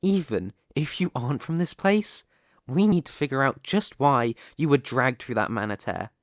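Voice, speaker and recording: A man's voice: mid-range voice, expressive, no room sound, studio quality, lightly acted. A man playing a proud, wary male elf hunter who is hostile to humans, stern and indignant, in an ASMR roleplay. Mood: stern, guarded. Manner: stern, indignant, halting when baffled.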